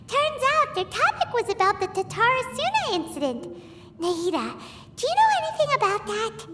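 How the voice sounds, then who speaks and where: A woman's voice: very high-pitched, very animated, moderate pace, bright, forceful tone, faint music behind, heavily acted. A woman voicing a tiny, chirpy female companion in a game cutscene, who blurts out questions and speaks of herself in the third person. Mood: curious.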